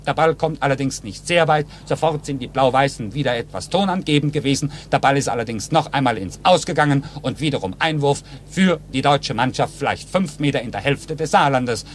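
A man's voice: mid-range voice, animated, brisk, hardly any pauses. A man giving detailed live play-by-play football commentary on 1950s radio, in short clipped phrases from a stadium. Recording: noisy old 1950s radio recording.

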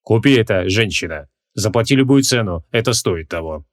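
A man voicing an imperious, scornful ancient mage in an audiobook, curt and commanding, with a hoarse edge. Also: lowish voice, expressive, moderate pace, lightly acted. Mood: excited, demanding.

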